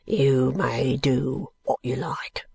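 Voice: mid-range voice, takes it slowly, gravelly, a little mellow, breathy edge, no room sound, voice pushed down, full character voice. A narrator voicing a surly, drunken working-class brickmaker, blunt and morose, in dialect speech, as in an audiobook. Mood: sullen. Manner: dialect speech, blunt, self-answering rhetorical questions.

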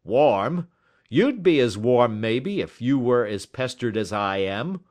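Audiobook part: gruff, snappish stallholder, acted by a male reader, cocky and blustering, with lively swings in pitch. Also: slow pace. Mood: irritated, blustering. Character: gruff, hot-tempered cocksure market poultry salesman. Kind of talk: audiobook character dialogue.

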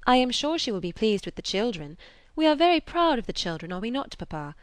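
A woman voicing a composed, cheerful young lady in an audiobook, a dutiful daughter who is polite and gently persuasive. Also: a little high, very animated, fast, smooth, no echo, pitched above the performer's own voice. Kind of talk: audiobook character reading of a classic novel.

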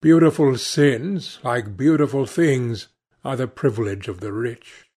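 A man's voice: medium pitch, measured pace, dark tone, slightly rough. A man voicing a witty, cynical aristocrat in an audiobook, with a nonchalant, pompous, epigrammatic manner. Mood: smug, amused. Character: languid witty cynical male aristocrat, urbane and teasing. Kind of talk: audiobook character dialogue.

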